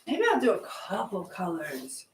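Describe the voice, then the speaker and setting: A woman's voice: fairly low pitch, sing-song, measured pace, roomy sound, slight background noise. A woman hosting a live stream in casual chat, drifting informally between advice to viewers and comments on what she is doing.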